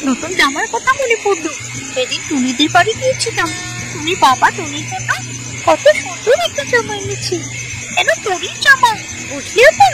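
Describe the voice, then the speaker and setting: A woman voicing a spoiled, demanding young village girl in a cartoon, whiny and excitable. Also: very high-pitched, moderate pace, poor audio. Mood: excited, envious. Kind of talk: cartoon voice acting. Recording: music under the voice.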